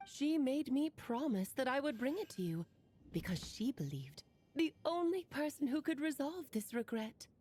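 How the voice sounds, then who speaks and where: A playful grown-up witch in a high, swooping voice. She is breezy and eccentric, telling things with theatrical flourish in anime game style. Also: very animated, moderate pace, darkish tone, slight background noise. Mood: solemn, dramatic. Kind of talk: anime video game voice acting.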